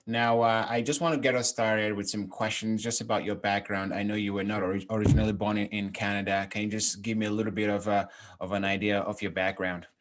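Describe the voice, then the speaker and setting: A man with a fairly low voice hosts his own podcast. He sounds upbeat and eager, speaking in a friendly way and often pausing on little fillers as he sets up his questions for a guest. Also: little pitch movement. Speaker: podcast host. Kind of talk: casual interview hosting.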